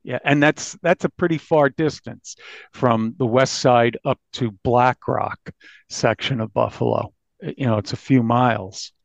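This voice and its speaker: A man speaking as an investigative journalist in an interview, recounting a crime case in a halting but emphatic conversational way. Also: medium pitch, steady tone, vocal fry, dry recording. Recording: dull, band-limited audio.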